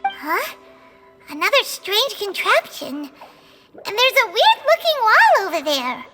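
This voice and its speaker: A squeaky, bouncy little floating sidekick, her very high voice leaping all over the place. She draws her words out slowly and chirps with excitement, while game music plays clearly behind her. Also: exaggerated voice. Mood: chirpy. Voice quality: high squeaky chatter, calls herself by name. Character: tiny floating female companion, chirpy and excitable. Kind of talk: game cutscene dub.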